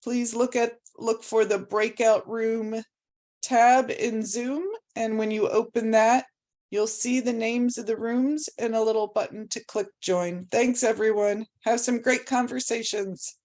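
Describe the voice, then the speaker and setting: A woman's voice: on the high side, steady tone, unhurried, darkish tone. A woman organizing an online meeting, giving participants friendly instructions about breakout rooms.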